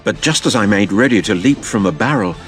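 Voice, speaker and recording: A man plays an earnest adventurer telling his own story in an audio drama. The delivery is dramatic and scripted, in a fairly low voice with soft music underneath. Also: animated, a little fast, close to an everyday voice. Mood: grave, dramatic. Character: earnest young adventurer recounting his tale.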